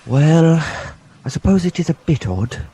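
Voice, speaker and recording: A man's voice: fairly high pitch, sing-song, unhurried, warm tone, husky, exaggerated voice. A man playing a sceptical, down-to-earth uncle, dismissive and teasing, in an audio drama.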